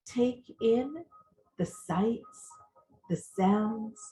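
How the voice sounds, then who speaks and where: Female meditation guide. Relaxed, mellow tone, slow delivery, gentle soothing instructions with plenty of space between phrases. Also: fairly high pitch. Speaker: meditation coach.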